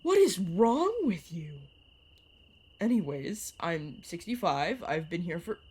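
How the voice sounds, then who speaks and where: A teasing young vampire mentor acted by a woman for an audio roleplay, pitched on the low side. The delivery is chatty and sarcastic, like a playful guide talking one-on-one to a newcomer. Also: sing-song, slow, darkish tone, breathy edge, heavily acted. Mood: casual, wry. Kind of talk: narrative audio roleplay, one-sided conversation.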